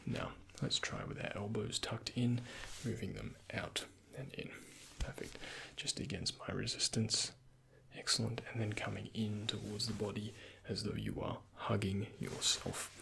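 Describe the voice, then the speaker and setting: A soft-spoken man with a breathy, often half-whispered voice, playing a doctor in an ASMR roleplay and giving gentle instructions and reassurance. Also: fairly low pitch.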